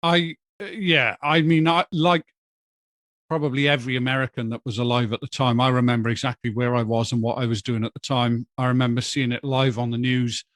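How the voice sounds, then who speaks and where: A man, a guest on a podcast, speaking in a measured, thoughtful way with hesitant starts. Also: medium pitch, fairly even intonation, a bit bright, dry recording, clean studio sound. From Wales. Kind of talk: casual podcast chat.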